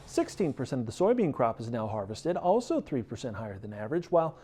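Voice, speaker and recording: A man's voice: mid-range voice, very animated, fast, dark tone, a little hiss or hum. An American man reading a scripted agricultural news bulletin as the news presenter. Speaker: news reader.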